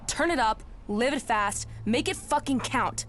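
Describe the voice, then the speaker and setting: A woman's voice: a little high, lively intonation, unhurried, fairly bright tone, slight background noise. An actress playing a brash, impatient, foul-mouthed woman warrior in a video game, blunt and combative. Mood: impatient, defiant. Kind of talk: video game cutscene acting.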